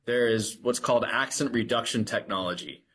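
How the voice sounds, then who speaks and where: A man with an ordinary middle-pitched voice co-hosts a casual podcast. He talks earnestly, cautioning his listeners. Now and then he slips into acting out a scammer's lines. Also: normal speed, fairly bright tone. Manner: earnest, warning tone, acts out scammer dialogue. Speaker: podcast co-host.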